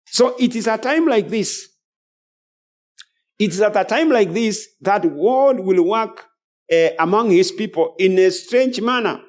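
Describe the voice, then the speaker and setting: A man's voice: high-pitched, animated, measured pace. A man preaching a sermon as a preacher, emphatic and repeating phrases as he goes. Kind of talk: sermon with scripture and book readings.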